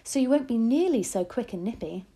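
A woman's voice: fairly high pitch, expressive, brisk, warm tone, voice pushed up. A woman voicing an elderly grandmother who is loving, resourceful and emphatic, in a storybook reading. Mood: urgent, caring.

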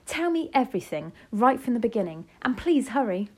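A woman reading aloud as an elderly grandmother, eager and commanding, with urgent, excited questions. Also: sits high, measured pace, voice pushed up.

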